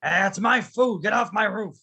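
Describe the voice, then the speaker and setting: A man's voice: high voice, measured pace, gravelly, pushed and bright, husky, no room sound, heavily acted. A man playing a grumpy, stubborn old man in a cartoon script read, curt and scolding. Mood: angry. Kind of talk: amateur table read of an animated film script.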